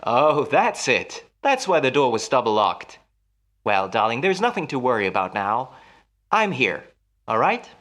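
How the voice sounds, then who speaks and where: A man playing a smooth, solicitous husband in an old-time radio melodrama, soothing and patronizing with a quietly menacing edge. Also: mid-range voice, very animated, measured pace. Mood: soothing concern.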